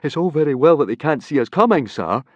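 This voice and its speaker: A man's voice: a little high, big pitch swings, fast, darkish tone, no room sound, lo-fi sound, pitched above the performer's own voice. Man voicing an anxious Viking boy, raised thin pleading voice, storybook. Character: nervous skinny Viking boy.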